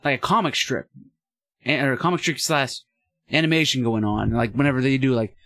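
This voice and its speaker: A man's voice: medium pitch, fairly quick, a bit bright, dry recording. A man who is a guest on a podcast, making blunt wisecracks in casual chat.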